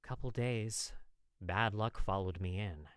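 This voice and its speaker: A man's voice: fairly low pitch, slow pace, smooth, no room sound, clean studio sound. A man voicing an exasperated, hot-tempered young man of the Old West in an audio story, snappy and scolding. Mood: dry, curious. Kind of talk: audio story character acting.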